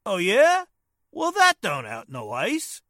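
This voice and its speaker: A woman playing a gruff, suspicious male detective with rough, slangy talk, in 1940s radio drama style. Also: medium pitch, huge pitch swings, slow, a bit bright, dry recording, clean studio sound, exaggerated voice. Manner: rough, slangy, ungrammatical wise-guy talk.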